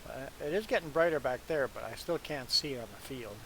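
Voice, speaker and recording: A man from Canada whose first language is Low German, chatting casually as he hosts a livestream of a farming game. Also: a little fast, fairly bright tone, rough recording. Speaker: livestream gaming host. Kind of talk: casual chat while streaming a farming game. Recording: clear background hiss.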